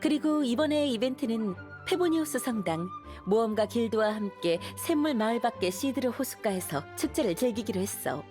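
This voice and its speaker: Woman as a high-voiced, composed lady knight. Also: expressive, moderate pace, hardly any pauses, music in the background, close to an everyday voice. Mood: informative. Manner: composed, formal, explanatory. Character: earnest, dutiful young woman knight leader. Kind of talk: Korean anime game voice acting.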